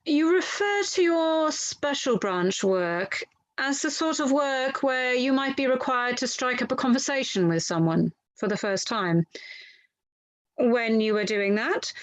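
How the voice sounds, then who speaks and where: Woman reading as polite inquiry lawyer, higher-pitched, dry sound. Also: no room sound, poor audio. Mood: polite, methodical. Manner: courteous, methodical, slightly halting reading. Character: formal, polite inquiry lawyer questioning a witness. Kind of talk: verbatim transcript reading.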